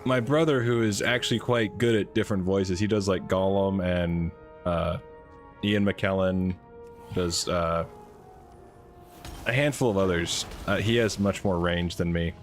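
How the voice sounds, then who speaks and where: A man with a naturally deep voice chatting casually while playing a game, rambling and laughing at himself. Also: measured pace, faint music behind. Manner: rambling, self-amused, laughs often. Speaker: game streamer. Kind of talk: casual gaming commentary.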